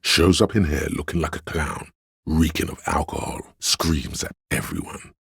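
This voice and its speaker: A man playing a solemn, all-knowing inner-voice narrator in a video game, with a gravelly, husky voice and a measured, literary delivery. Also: a bass voice, lively intonation, fairly bright tone, no room sound, studio quality, exaggerated voice. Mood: wry, weary. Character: omniscient, solemn inner-voice narrator of police camaraderie. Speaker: voice actor narrating a character's inner voice.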